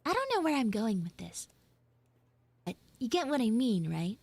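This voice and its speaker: A woman's voice: a little high, big pitch swings, no room sound. A woman voicing a smug, playful tsundere frog schoolgirl in a live audio roleplay, speaking in a coy, teasing way. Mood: coy, affectionate. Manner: coy teasing, drawn-out pauses, occasional whispered asides.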